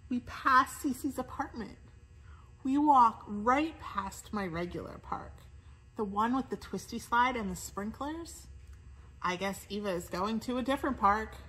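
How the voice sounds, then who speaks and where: A woman's voice: a little high, animated, measured pace, sharp tone, slightly rough, low-quality recording. A woman reading a children's storybook aloud.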